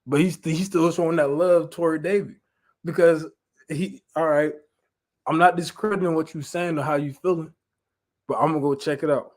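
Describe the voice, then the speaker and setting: A man's voice: a little high, fairly even intonation, moderate pace, darkish tone. A man with an American accent giving an informal Bible lesson, mixing reading aloud with slangy, conversational retelling and repeating phrases for emphasis. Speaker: preacher.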